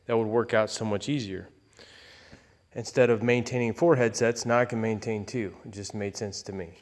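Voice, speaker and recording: A man with a fairly low voice talks through things casually and without a script. His delivery is folksy and rambling, with halting restarts. The sound rings with long reverb, like a big indoor space. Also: steady tone, darkish tone. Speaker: aircraft owner and pilot. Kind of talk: casual unscripted walkthrough.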